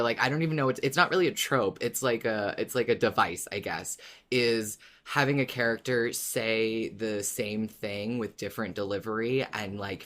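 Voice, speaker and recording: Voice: mid-range voice, a bit bright. A podcast co-host chatting casually and enthusiastically, circling around phrases while explaining and retelling things. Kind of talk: casual chat, animated retelling.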